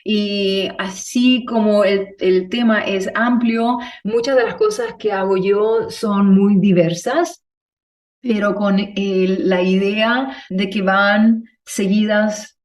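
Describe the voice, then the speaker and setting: A woman with a mid-pitched voice talks as an interview guest. She tells her story in a loose, meandering way, checking in with little tag questions, and sounds somewhat far from the microphone. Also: fairly even intonation, takes it slowly. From Chile. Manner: meandering, ends phrases on checking tags. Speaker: podcast guest, movement instructor. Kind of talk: conversational personal storytelling.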